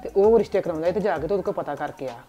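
Woman as a village mother giving orders, low voice, very fast talk, sketch. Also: soft background music, close to an everyday voice. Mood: instructing. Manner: steady, instructing. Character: practical village mother planning her daughter's marriage. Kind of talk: village comedy sketch acting.

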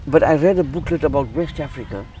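A man's voice: a little high, fast, warm tone, recorded from a distance, a little hiss or hum, low-quality recording. A man from Zimbabwe who runs a charity, talking earnestly in a casual interview and often repeating words as he restarts. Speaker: charity founder.

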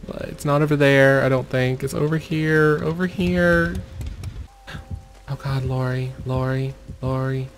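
A man commentating over gameplay in a mostly monotone voice, muttering urgings at the screen. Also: medium pitch, measured pace. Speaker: gaming video creator.

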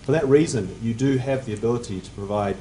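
A man in his forties answering on a conference panel at a table mic, measured and technical, his phrases fading at the ends. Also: medium pitch, warm tone, a little hiss or hum, rough recording. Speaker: panelist, fund manager. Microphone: table mic on stand.

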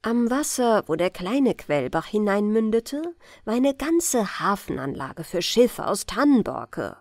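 A woman with a German accent reading a fairy tale aloud in a calm, gentle bedtime style, in a lilting storyteller manner. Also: medium pitch, unhurried, no echo. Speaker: audiobook reader.

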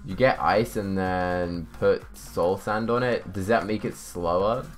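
A young man with a fairly low voice streams a game from a room at home. He thinks out loud in a casual, chatty way and reads comments from viewers. Music plays behind him. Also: a little slow. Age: young adult. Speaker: livestreamer. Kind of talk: casual live gaming commentary, reading chat aloud. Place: indoors, small home room.

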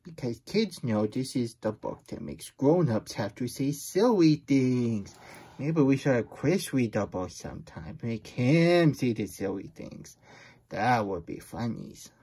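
Man voicing a slow, husky little storybook hedgehog. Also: mid-range voice, sing-song, dark tone, heavily acted. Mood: playful, suspicious. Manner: babyish broken grammar, muttered asides. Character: childlike male plush hedgehog, silly and easily flustered. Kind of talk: playful storybook read-aloud.

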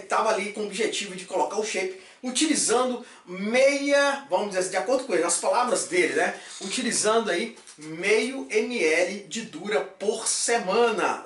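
A man's voice: high voice, fairly bright tone, distant mic. A man in his thirties hosting a casual fitness vlog, talking animatedly with jokey catchphrases. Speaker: fitness supplement YouTuber. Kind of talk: energetic casual vlog chat. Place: small room with shelves of supplements. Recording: echoey room, voice off-mic.

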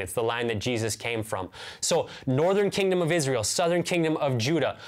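American man preaching, earnest and emphatic. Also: mid-range voice. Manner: earnest, emphatic, repeats key phrases and asks rhetorical questions. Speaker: pastor. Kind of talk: sermon, teaching to camera. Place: indoors, small room with bookshelves.

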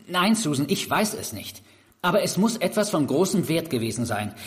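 Casting: zealous online reporter, high lively voice, insistent speechmaker who stumbles under pressure, audio drama. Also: male voice, normal speed. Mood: insistent, evasive. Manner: insistent, speechifying, stammers when challenged. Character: earnest, combative male internet reporter and conspiracy crusader. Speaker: talk show guest. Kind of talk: audio drama acting as a TV interview guest.

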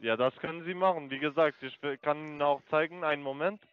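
A man with a foreign accent, speaking German as a call center agent on the phone, halting with many fillers and broken grammar. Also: a little high, clear, resonant tone, highs cut off.

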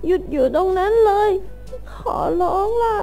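A woman's voice: very high-pitched, lively intonation, normal speed, mellow, soft background music. A woman voicing a timid, whiny, heartbroken schoolboy in a cartoon fan drama, hesitant and pleading. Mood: anguished.

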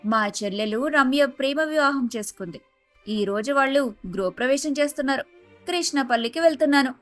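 High-voiced, inquisitive woman friend voiced by actress. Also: fairly quick, fairly bright tone, soft background music. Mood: friendly. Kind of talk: animated moral story dubbing.

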